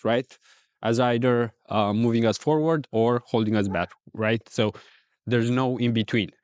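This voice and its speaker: A man from Romania with a Romanian accent, talking casually in a reflective, rambling vlog with many pauses and fillers. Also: medium pitch, clean tone, no room sound, clean studio sound. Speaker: ecommerce vlogger.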